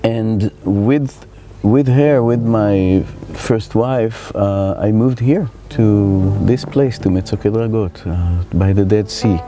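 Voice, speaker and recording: A man's voice: fairly low pitch, mellow, faint music behind. Reflective middle-aged Israeli man. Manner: reflective, halting, searching for words. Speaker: spiritual teacher. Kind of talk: personal life story told to camera.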